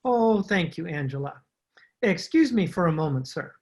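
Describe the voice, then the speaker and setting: A man playing a formally polite, quietly sinister séance host in an old-time radio drama, over distant-sounding call audio. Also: fairly high pitch, animated, moderate pace. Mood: polite, halting. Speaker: amateur radio drama player.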